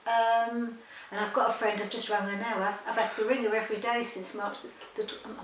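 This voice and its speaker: A woman's voice: fairly high pitch, flat delivery, brisk. A woman, talking frankly and at length in an interview. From Beckenham, London. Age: 70s. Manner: chatty, frank, rambling. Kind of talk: candid interview answers. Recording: roomy echo, distant mic, faint noise.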